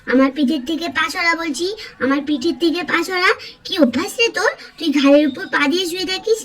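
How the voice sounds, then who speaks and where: A woman's voice: extremely high pitch, fairly bright tone, voice pushed up. A woman voicing a boastful, squabbling small child in a doll cartoon, whiny and insistent. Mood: annoyed.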